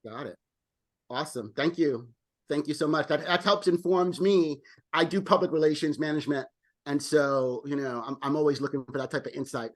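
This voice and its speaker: Male meeting host on a video call, high pitch, friendly, stumbles into repeats. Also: normal speed. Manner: friendly, repeats words when thinking. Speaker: club president, meeting host. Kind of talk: casual online meeting chat.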